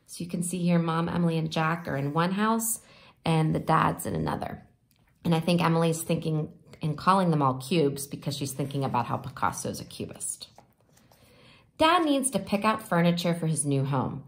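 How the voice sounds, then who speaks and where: A woman reading a children's picture book aloud expressively, sometimes pausing to explain the pictures. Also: low voice, sing-song, vocal fry. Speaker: storybook reader.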